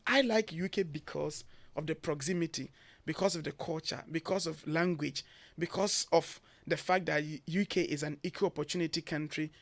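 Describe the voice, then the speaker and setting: A man's voice: on the high side, normal speed, dry recording. A man from Ghana with a Ghanaian accent, a guest on a morning radio show, giving earnest advice point by point. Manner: earnest, emphatic, lists points. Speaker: guest, education agency head. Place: radio studio.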